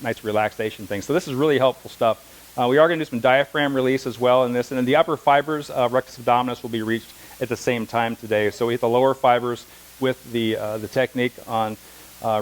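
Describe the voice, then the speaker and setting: A man's voice: medium pitch, poor audio. A middle-aged man teaching a massage class, lecturing conversationally with anecdotes and asides in a classroom, speaking without a microphone. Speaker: massage instructor.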